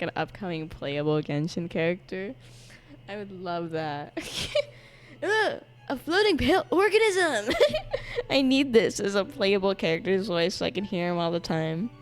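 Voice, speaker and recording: A woman's voice: mid-range voice, expressive, unhurried. A woman streamer giving casual, giggly commentary over a game, with sarcastic asides and swearing. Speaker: gaming streamer. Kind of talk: casual let's-play commentary. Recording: voice over game audio with music.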